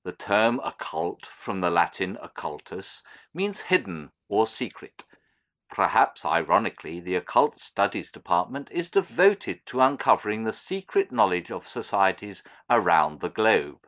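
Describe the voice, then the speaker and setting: An actor playing a pompous university announcer in an audio drama, reading a formal, declamatory promotion with precise, self-important delivery. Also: male voice, fairly low pitch, very animated, measured pace, fairly bright tone. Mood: proud, formal. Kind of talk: formal scripted academic announcement.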